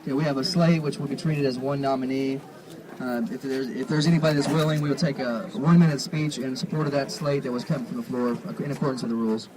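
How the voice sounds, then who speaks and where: A man speaking as a meeting chair outdoors, in formal procedural language with a deliberate, halting delivery. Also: medium pitch, fairly even intonation, noisy background. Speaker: convention chair running a meeting. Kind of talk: formal parliamentary procedure, announcing to an assembly. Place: outdoors at a parking lot gathering.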